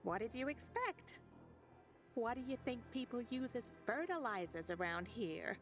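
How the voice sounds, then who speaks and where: A woman's voice: a little high, animated, moderate pace, soft background music. A woman acting a dry, long-suffering, exasperated local in a video game cutscene.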